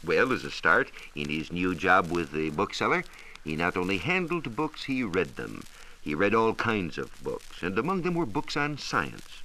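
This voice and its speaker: A low-pitched American man speaks in a bright, forceful voice with lively swings of intonation. He delivers scripted educational narration in the manner of a 1940s radio science reporter, phrasing each point emphatically. The recording is an old, hissy broadcast transcription. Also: moderate pace, rough recording.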